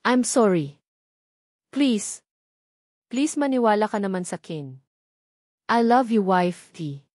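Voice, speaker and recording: A woman's voice: mid-range voice, animated, takes it slowly, a little mellow, no echo, clean studio sound. A woman voicing a remorseful husband who pleads insistently with his wife in an audiobook drama. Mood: desperate pleading.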